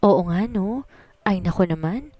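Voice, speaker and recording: A woman's voice: mid-range voice, animated, unhurried, dark tone, no echo. A woman voicing a jittery, chatty young bridesmaid, dramatic and exclamatory, in a Filipino romance audio story. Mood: panicky.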